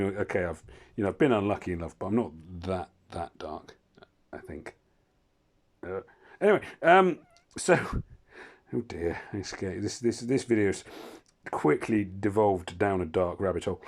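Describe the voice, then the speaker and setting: A hoarse man casually commentating his mobile game, rambling and cutting himself off with wry asides. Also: low-pitched. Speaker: gaming channel host.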